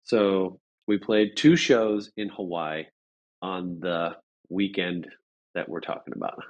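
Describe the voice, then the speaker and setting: A man's voice: deep voice, slow pace, dull audio. A man telling an anecdote as an interview guest, casual and agreeable, often stopping to correct himself.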